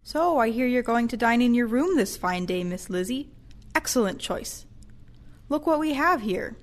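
A woman's voice: on the high side, lively intonation, normal speed, lightly acted. A woman acting as a cheerful, chatty care-home lunch server in an audio play, playfully formal and helpful.

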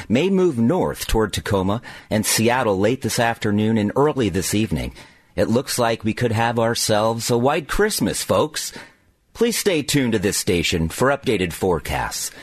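A man playing a composed 1950s radio bulletin announcer with a husky voice and formal, folksy authority. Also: medium pitch, animated, normal speed, no echo. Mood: official, composed.

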